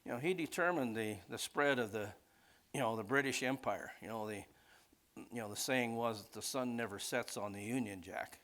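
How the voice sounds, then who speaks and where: A man's voice: medium pitch, moderate pace, fairly bright tone. A man preaching in an informal teaching style, rambling conversationally off the cuff and often checking in with his listeners. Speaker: preacher teaching a Bible class.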